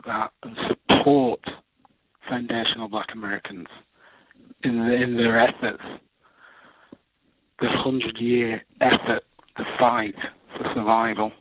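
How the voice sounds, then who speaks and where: A man's voice: medium pitch, flat delivery, unhurried, long pauses, dry recording. Earnest Manchester man on a phone line. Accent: English, Manchester. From England. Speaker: caller.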